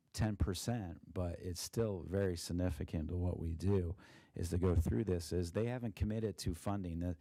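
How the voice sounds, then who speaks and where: A man, a school district treasurer, presenting a budget at a board meeting in a monotone with many fillers and restarts, with an Ohio accent. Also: deep voice, dry recording. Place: meeting room.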